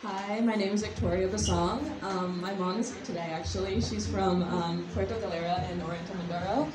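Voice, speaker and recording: A woman's voice: medium pitch, steady tone, fairly quick, noisy background. A female athlete from the United States introducing herself casually, with fillers. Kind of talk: self-introduction. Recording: echoey room with clear ambience.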